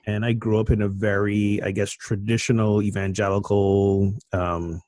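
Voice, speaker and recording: A middle-aged man from Barbados, telling his story as a guest minister in a relaxed, self-deprecating way. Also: lowish voice, normal speed, no echo. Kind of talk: casual conversational storytelling.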